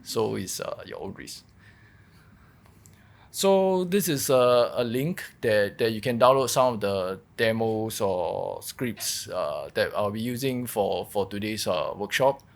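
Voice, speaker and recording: A man giving a technical workshop presentation, explaining in a halting way and repeating words as he goes. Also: fairly low pitch, animated, vocal fry. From Singapore. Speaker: workshop presenter, malware researcher.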